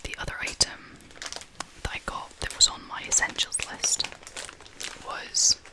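Young woman, whispered voice, ASMR vlog, unhurried, informal, chatty. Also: poor audio. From half Scottish, half American. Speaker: vlogger showing purchases. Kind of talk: whispered ASMR shopping haul.

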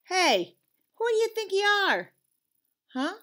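A woman voicing a storybook lizard's bossy, scolding conscience, interrogating with drawn-out suspicion. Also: very high-pitched, very animated, pushed and bright, pitched above the performer's own voice, full character voice. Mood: indignant.

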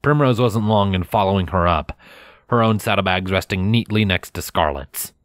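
A man narrating a scripted story in a measured, expressive storytelling manner, close to the microphone. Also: low-pitched, dry recording.

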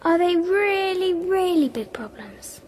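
A woman's voice: very high-pitched, animated, slow, darkish tone, slight background noise, exaggerated voice. A woman playing a shy, curious little girl in a radio drama, speaking hesitantly in short childlike phrases.

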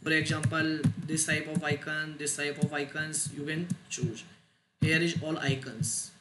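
Halting man in a noisy, echoey room. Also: a little high, flat delivery. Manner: halting, short clipped phrases, non-native phrasing. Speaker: tutorial instructor. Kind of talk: step-by-step software screen tutorial. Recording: roomy echo, loud noise, clicks.

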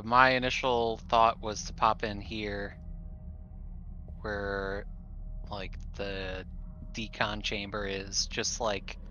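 A man with a fairly low, bright voice talks as a player in a roleplaying session. He offers suggestions casually and a little tentatively, over faint background noise. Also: low-quality recording. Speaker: player in a tabletop game. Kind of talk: casual chat.

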